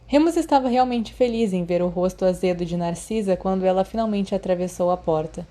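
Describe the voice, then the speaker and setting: A woman's voice: on the low side, brisk, mellow. A woman with a Brazilian accent reading a story aloud as scripted narration. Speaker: audiobook narrator.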